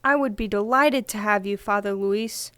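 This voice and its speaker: A woman's voice: mid-range voice, moderate pace, sharp tone, no echo, close to an everyday voice. A woman playing a polite, eager character who shares memories in an audio drama. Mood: polite, reminiscing.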